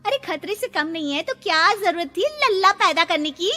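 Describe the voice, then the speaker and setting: Woman voicing an irritable, high-pitched young daughter-in-law. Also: lively intonation, moderate pace, bright, forceful tone, heavily acted. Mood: exasperated. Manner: shrill, exasperated complaining. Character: irritable, sharp-tongued young daughter-in-law, quick to anger. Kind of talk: animated moral-story cartoon voice acting. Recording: long reverb over background music.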